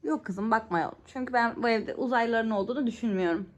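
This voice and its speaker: A woman's voice: on the high side, fast. A mother playing make-believe with her child at home, with exaggerated alarmed gasps and cries. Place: family home, indoors.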